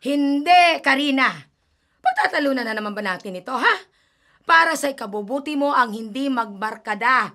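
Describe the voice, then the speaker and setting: A woman's voice: high voice, lively intonation, normal speed, sharp tone. An actress playing a strict, protective older mother and grandmother who scolds sharply, in a radio drama. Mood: stern.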